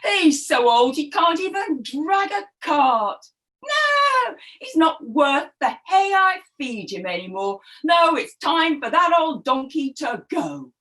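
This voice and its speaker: A dismissive storybook farmer, put on by a woman, scoffing and impatient. The words are drawn out emphatically, with big jumps in pitch. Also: very animated, slow pace, fairly bright tone, far from the mic, heavily acted. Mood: scornful. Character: scornful male farm master, dismissive and impatient.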